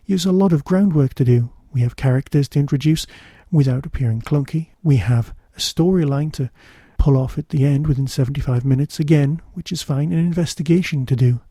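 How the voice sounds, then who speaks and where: Male podcast reviewer, very dark soft tone, dry close recording, wry and conversational. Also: a little high, husky, dry recording. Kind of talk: informal spoken review.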